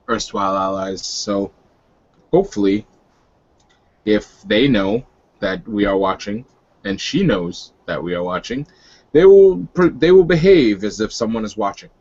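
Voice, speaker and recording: A lowish, muffled voice of a man playing a weary werewolf leader in a tabletop game. He is guarded and dry, speaking haltingly with cool menace. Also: animated, darkish tone.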